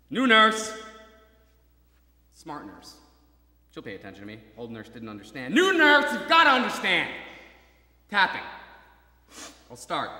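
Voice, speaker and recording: An actor playing a gravely wounded young soldier in a stage drama, halting, repetitive and pleading, moving between murmurs and cries. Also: male voice, mid-range voice, pushed and bright, heavily acted. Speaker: actor in a stage adaptation of a radio play. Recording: roomy hall, distant mic, faint noise.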